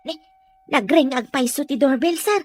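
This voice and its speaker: A woman's voice: sits high, animated, moderate pace. A woman with an Ilocano accent playing a deferential, helpful household helper in a Filipino radio drama. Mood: eager, respectful.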